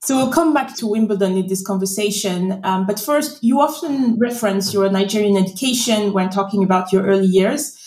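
A woman's voice: medium pitch, fairly quick, hardly any pauses, darkish tone. A woman hosting a podcast, giving a polished, scripted introduction and interviewing a guest. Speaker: podcast host. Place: remote call. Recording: roomy remote recording.

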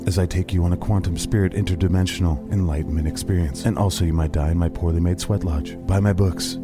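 Male performer as self-important New Age guru, very low voice, parody infomercial sell over clear music. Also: close to an everyday voice. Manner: smooth-selling, self-important, jargon-heavy. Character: pompous self-help guru selling dubious spiritual camps. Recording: music behind the voice.

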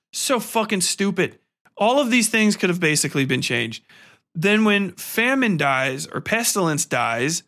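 A man's voice: sits high, bright, forceful tone. A man hosting a podcast in a casual, rambling film-review rant, profane, exasperated and mocking.

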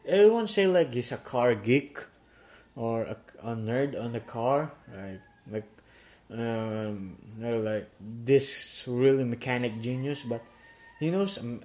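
Young male vlogger, mellow warm voice, halting casual storytelling. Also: mid-range voice. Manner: halting, many restarts and fillers. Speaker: gaming YouTuber.

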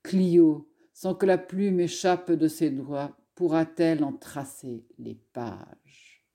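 A woman scholar reading poetry aloud in a solemn, measured, declamatory recitation. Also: low-pitched, fairly even intonation, dark tone.

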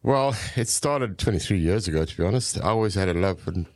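A man's voice: deep voice, lively intonation, fairly quick, a little mellow, dry recording. A middle-aged man with a southern African accent, telling stories conversationally as a podcast guest. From Zambia and South Africa. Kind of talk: conversational storytelling.

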